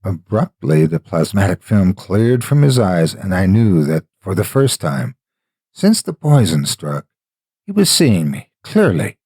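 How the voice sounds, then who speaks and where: A man with a husky voice, a storyteller delivering scripted narration in deliberate, theatrical phrasing. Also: low voice, sing-song, darkish tone, dry recording. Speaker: horror story narrator and host. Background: faint music.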